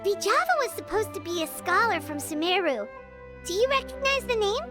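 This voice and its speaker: A woman's voice: very high-pitched, big pitch swings, brisk, music in the background. A woman voicing a small, childlike female sprite companion in an anime video game, eager and curious. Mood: eager, concerned.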